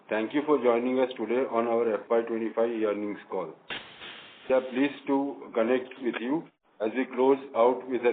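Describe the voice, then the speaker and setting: A man with an Indian accent formally reading prepared remarks as a managing director on an earnings call, stumbling over some words. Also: medium pitch. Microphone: conference call line. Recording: narrow phone-like line with faint noise.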